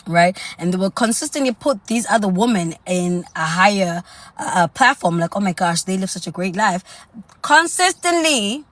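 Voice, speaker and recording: A woman's voice: medium pitch, fairly bright tone, dry recording. A young woman from South Africa with a South African accent, chatting sassily on a live stream and calling her viewers honey and girl. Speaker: dating lifestyle live streamer. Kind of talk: casual live stream chat.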